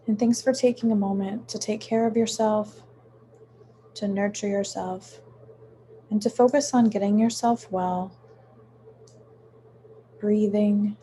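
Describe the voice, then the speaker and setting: A woman leading a guided meditation in a soothing, unhurried manner, with frequent pauses between short phrases. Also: mid-range voice, dark tone, a little hiss or hum. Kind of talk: guided meditation, gentle instruction.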